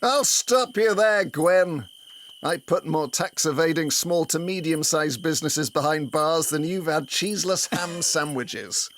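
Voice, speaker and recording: An actor playing a bitter, boastful retired detective inspector in a comic audio drama, with pompous, world-weary speechifying. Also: male voice, fairly high pitch, unhurried, fairly bright tone, a little hiss or hum. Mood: bitter, proud.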